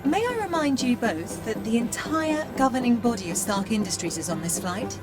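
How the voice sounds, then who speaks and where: An actress as a female artificial assistant in a video game. She is formal and deferential with a hint of reproof, her higher voice moving in a lively way over loud music. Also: normal speed, lightly acted. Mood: politely reproving. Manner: formal, deferential, precise wording.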